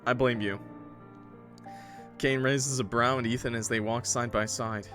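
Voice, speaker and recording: A man streaming a visual novel, switching between dramatic narration and animated, jokey, sweary commentary. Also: mid-range voice, measured pace, faint music behind.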